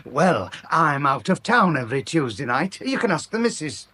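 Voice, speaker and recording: A man's voice: a little high, sing-song, moderate pace, bright, forceful tone, slightly rough, heavily acted. An actor playing a bluff, chummy English local man with an offhand, matey manner, in a British radio drama. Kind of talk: British radio comedy-mystery drama acting.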